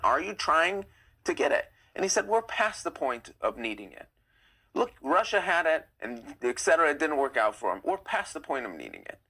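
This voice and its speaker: A young man hosting a live stream, chatting casually and reading viewer comments, opinionated and quick to get heated. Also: pushed and bright. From United States. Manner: chatty, opinionated, gets heated and rises in pitch.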